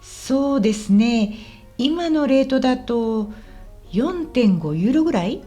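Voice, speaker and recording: Lady acting as a friendly helpful local guide in a learner radio drama, high lilting voice, super clear and polite. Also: expressive, slow pace, dark tone, faint music behind, full character voice. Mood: explaining. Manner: polite, explanatory, clearly enunciated. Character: friendly, helpful woman guiding a visitor around Osaka. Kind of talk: scripted language-lesson radio drama.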